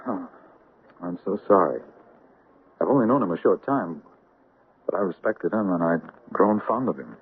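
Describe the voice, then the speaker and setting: An actor playing a fatherly, reasonable and gently skeptical man in a radio drama. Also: low-pitched, animated, normal speed, pauses often, husky, heavily acted. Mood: shocked, puzzled. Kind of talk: 1970s radio drama acting. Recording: muffled, hissy old radio recording.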